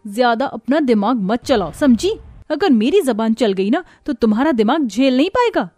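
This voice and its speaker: A woman's voice: fairly high pitch, sing-song, normal speed, mellow, dry recording, clean studio sound, faint music behind. A woman voicing a feisty, determined poor young village sister in a cartoon story, defiant and earnest.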